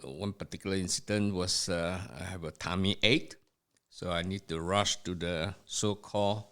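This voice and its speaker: An older man from Singapore, recalling family history in an interview with his son, speaking haltingly with many fillers and restarts. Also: low-pitched, fairly even intonation, a bit bright, clean tone. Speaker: podcast guest, host's father.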